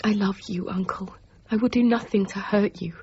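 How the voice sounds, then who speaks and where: A young gentlewoman, lovestruck and resolute. Her husky, mid-pitched voice stays composed and candid. It is period radio drama with a slightly dull sound. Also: steady tone, moderate pace. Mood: tender, composed.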